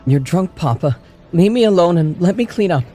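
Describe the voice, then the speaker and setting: A man voicing a restless, rebellious teenage girl who pleads and shouts back. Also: mid-range voice, lively intonation, a little fast, slightly rough, soft background music, voice pushed up. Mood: shrieking. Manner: pleading, then shouting in anger.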